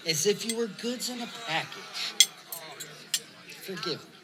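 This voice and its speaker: A woman playing a jovial, eccentric elderly male hobbit in an audio drama, orating in a grand, deliberate manner. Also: deep voice, very noisy. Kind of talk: theatrical audio drama speech.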